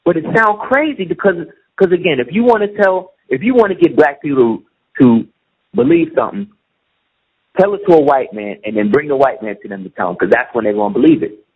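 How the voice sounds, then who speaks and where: A middle-aged man with a husky, slightly high voice makes his points emphatically and keeps asking whether the listener is following. The recording is muffled and lo-fi. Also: very animated, a little fast. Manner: emphatic, keeps asking "you see what I'm saying". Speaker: guest. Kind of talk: casual conversation. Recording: muffled lo-fi audio.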